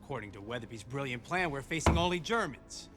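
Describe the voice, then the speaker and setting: A man's voice: mid-range voice, expressive, fast, loud music behind the voice, lightly acted. A man playing a bitter, ambitious army colonel in a video game cutscene, griping with sarcasm. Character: bitter, ambitious American army colonel, resentful of his superior.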